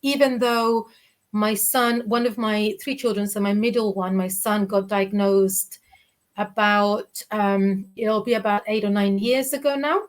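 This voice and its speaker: A woman's voice: medium pitch, steady tone, unhurried. A woman in her forties with a Cypriot Greek accent, speaking candidly as an interview guest over a video call from her living room, often restarting her sentences. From Cyprus. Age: 40s. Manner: candid, reflective, many restarts and fillers. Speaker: guest, children's author. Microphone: video call webcam.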